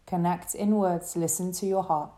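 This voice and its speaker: A woman leading a guided relaxation meditation as a yoga teacher, speaking in a soothing, gentle way. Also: fairly low pitch, steady tone. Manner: soothing, gentle, unhurried instruction.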